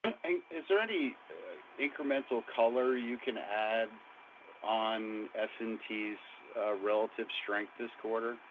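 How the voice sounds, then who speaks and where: A man calling in as a financial analyst, asking questions on an earnings call with hesitant pauses mid-question. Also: on the low side. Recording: tinny phone line with faint noise.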